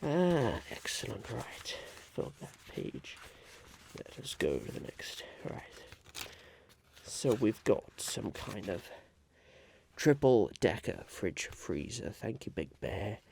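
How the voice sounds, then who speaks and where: Eccentric scheming businessman, acted by a man, ASMR roleplay: low and creaky, halting and musing, faint noise behind. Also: sing-song, a little mellow, full character voice. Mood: intrigued. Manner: slow, halting, musing, repeats phrases.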